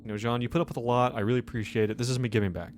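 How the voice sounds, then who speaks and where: A man narrating a tabletop game as game master, improvising in a loose, halting, conversational way. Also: medium pitch, fairly even intonation, background noise.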